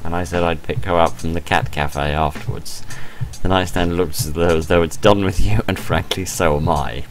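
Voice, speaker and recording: A British man reading a visual novel aloud as a let's play host, adding expressive, sarcastic, deadpan asides over game music. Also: low-pitched, moderate pace, few pauses. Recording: music behind the voice.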